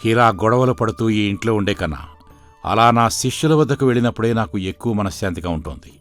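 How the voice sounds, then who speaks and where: A man playing a long-suffering, gentle and weary husband in an animated folktale, pleading and mournful. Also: fairly low pitch, a little fast, soft background music, natural voice.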